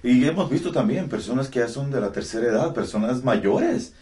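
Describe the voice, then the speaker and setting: A man's voice: medium pitch, expressive, fairly quick, darkish tone, slight background noise. A man in his forties hosts the talk and speaks earnestly and encouragingly, sharing personal stories. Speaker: host. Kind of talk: conversational interview talk. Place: small office with bookshelves, indoors.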